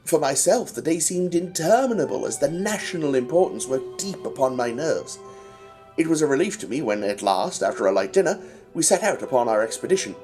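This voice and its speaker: Male actor as earnest Victorian gentleman sidekick, higher male voice, animated swings, formal storyteller, audio drama, faint music. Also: fairly quick, lightly acted. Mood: measured, narrating. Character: Doctor Watson, earnest Victorian gentleman narrator and loyal sidekick. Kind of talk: audio drama acting and scripted narration.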